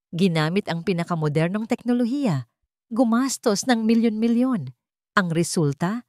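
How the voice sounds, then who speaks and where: A woman storyteller delivers scripted drama with theatrical, deliberate phrasing. Her voice sits a bit low and moves in animated swoops of pitch. The recording is close and dry. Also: no room sound. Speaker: story narrator.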